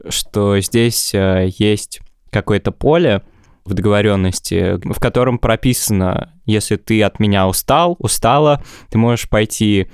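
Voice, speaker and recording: A man's voice: fairly low pitch, a little slow, clear, resonant tone, dry recording. Brief: man in his twenties, awkward rambling co-host, dry close sound. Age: 20s. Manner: hesitant, rambling with fillers. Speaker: podcast co-host. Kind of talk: casual comedic podcast chat.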